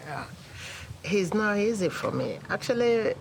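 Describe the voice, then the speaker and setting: A woman's voice: medium pitch, animated, measured pace, gravelly, noisy background. A middle-aged woman with a Nigerian accent telling her personal story in an interview. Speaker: interviewee, mother of an athlete.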